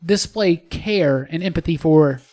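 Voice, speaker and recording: A man's voice: on the high side, measured pace, dark tone. A man hosting a casual podcast discussion, posing probing questions and repeating words as he builds them. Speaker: podcast host. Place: studio.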